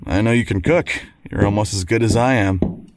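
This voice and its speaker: An actor playing a devoted, argumentative lawyer husband in an audio drama, with wry courtroom-style banter. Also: male voice, lowish voice, moderate pace, darkish tone, no echo, studio quality, lightly acted. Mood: playful.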